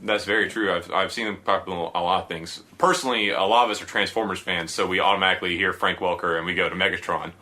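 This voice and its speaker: Male podcast host, lower voice with a bright edge, talks fast and keen, laughs easily. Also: steady tone. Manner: eager, chatty, quick to laugh. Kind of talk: casual podcast interview.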